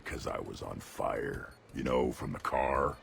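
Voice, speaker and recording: An actor playing a huge young male alien brute in a video game, blunt and mischievous, growling deadpan in terse sentences. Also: unhurried, full character voice. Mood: deadpan, amused. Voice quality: deep gravelly growl. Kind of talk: video game character dialogue.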